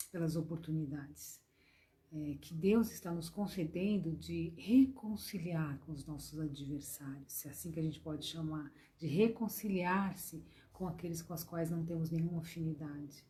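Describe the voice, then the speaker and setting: A hoarse woman with a Brazilian accent teaching a devotional spiritist lesson in a gentle, repetitive way, often addressing her listeners affectionately. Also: deep voice, steady tone, moderate pace. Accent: Brazilian Portuguese. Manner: gentle, repetitive, calls listeners "gente" and "meus amores". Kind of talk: devotional lesson to an online class.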